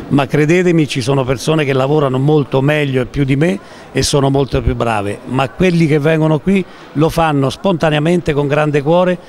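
A man in his sixties with an Italian accent, president of a charity event, speaking slowly and earnestly into a handheld mic in a large concert-hall lobby. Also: mid-range voice. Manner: slow, earnest, modest. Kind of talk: interview at an event. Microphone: handheld interviewer mic.

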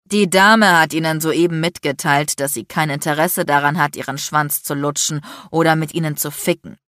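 An icy, domineering man in an audiobook drama, acted out by a woman. He is furious and sarcastic, hissing and barking threats in a lowish voice with a dry, close sound. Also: fairly even intonation, moderate pace, no room sound. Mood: icy fury, threatening. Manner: shouting, biting, threatening, crude. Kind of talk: dramatic audiobook character acting.

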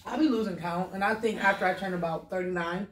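A woman's voice: on the low side, far from the mic, slight background noise. Laughing woman, a bit off mic. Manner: easygoing, laughs often, quick asides. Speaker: co-host. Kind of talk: casual chat while eating. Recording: echoey room, a bit off mic.

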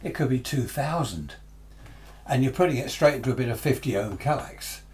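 A man's voice: medium pitch, expressive, moderate pace, darkish tone. An older man gives an informal, unscripted explanation, conversational and often repeating or rephrasing himself, as a hobbyist presenter. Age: 60s or 70s. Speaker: amateur radio enthusiast presenting a tutorial. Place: small cluttered home radio workshop, indoors.

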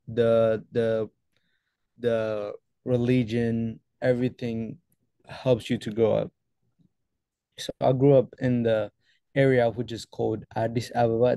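A young man from Ethiopia, a guest on a video call interview, answering casually with many pauses and restarts. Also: mid-range voice, gravelly, mellow, no echo. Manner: hesitant, many fillers and restarts. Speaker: guest photographer.

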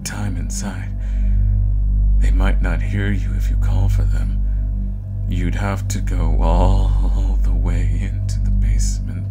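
A man's voice: sits low, flat delivery, slow. A man voicing a sly, cruel male shadow monster in an ASMR audio drama, speaking in a coaxing whisper that stammers on words. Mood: taunting. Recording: loud music underneath.

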